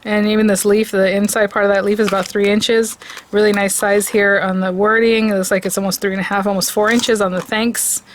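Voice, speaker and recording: Woman craft host, hoarse, gushing and chatty, mid pitch, faint background noise. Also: brisk. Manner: chatty and excitable, gushing over products. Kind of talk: casual product review and crafting demo.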